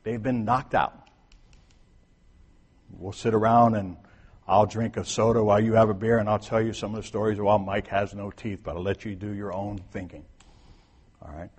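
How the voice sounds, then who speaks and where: A husky, fairly low-voiced man preaches to his church. His sermon is conversational, dropping to near-quiet asides and rising to emphatic points. A long reverb hangs in the sanctuary behind him. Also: fairly even intonation, normal speed, pauses often. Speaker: pastor.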